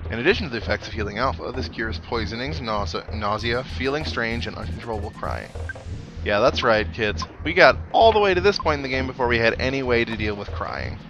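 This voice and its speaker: A man's voice: medium pitch, lo-fi sound. A man giving casual video game commentary as a let's player, with wry, self-deprecating asides.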